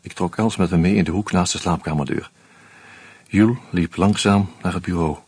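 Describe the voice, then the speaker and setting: Low husky narration, dry close sound, hardboiled radio play. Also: male voice, moderate pace, darkish tone, no echo. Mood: steady. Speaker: first-person narrator.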